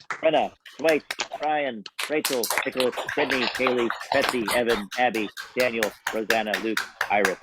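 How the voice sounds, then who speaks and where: A man hosting and announcing a live old-time radio show. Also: medium pitch. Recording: slightly dull, dry sound.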